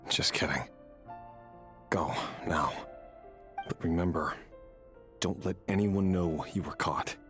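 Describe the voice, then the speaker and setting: A man playing a brooding, weary soldier in a video game, speaking in a grave, measured way. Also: deep voice, a bit bright. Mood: grim, guarded. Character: brooding, weary young male soldier torn between duty and mercy. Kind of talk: dramatic video game acting. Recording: game music behind.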